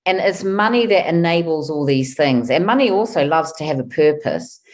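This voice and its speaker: A woman with a New Zealand accent speaks in a low, rather deep register. She is in her fifties and talks as an interview guest, chatty and thinking aloud as she answers. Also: animated, moderate pace. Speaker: guest, wealth coach.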